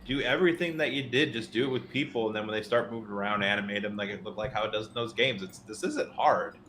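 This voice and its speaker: A man's voice: lowish voice, fast talker, fairly bright tone, slight background noise, poor audio. A man chatting casually as a panelist on a podcast.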